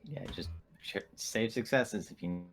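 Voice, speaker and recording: A man's voice: lowish voice, expressive, a little slow. A man chatting casually as a player in a tabletop roleplaying game.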